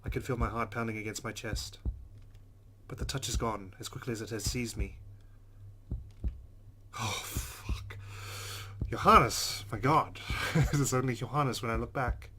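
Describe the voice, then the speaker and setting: A man playing a game, reading its text aloud dramatically and reacting in a jumpy, expressive way. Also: medium pitch, unhurried, long pauses, slight background noise. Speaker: let's player. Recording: loud game sound behind voice. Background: game audio.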